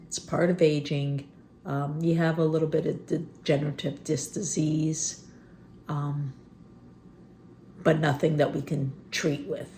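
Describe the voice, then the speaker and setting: A woman in her fifties talks to camera in a loose, rambling way, full of fillers and pauses. Her low, gravelly voice sounds phlegmy, and she keeps clearing her throat. A faint hiss sits under the recording. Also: mellow. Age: 50s. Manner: rambling, candid, many fillers. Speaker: vlogger. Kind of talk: unscripted personal vlog. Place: rural homestead.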